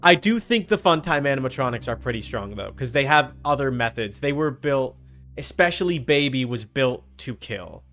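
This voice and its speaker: A man's voice: medium pitch, expressive, normal speed, a bit bright, soft background music. A man chatting casually as he streams a game, with a blunt, sweary, argumentative way of talking. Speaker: livestream host. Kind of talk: casual gaming stream chat while playing a horror game.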